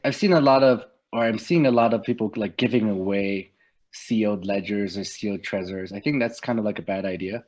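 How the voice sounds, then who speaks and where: A man sharing advice on a live talk show, hedging with "like" and "kind of". His voice is low, and the audio sounds dull and lo-fi. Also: a little mellow. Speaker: podcast co-host. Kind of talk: casual live chat.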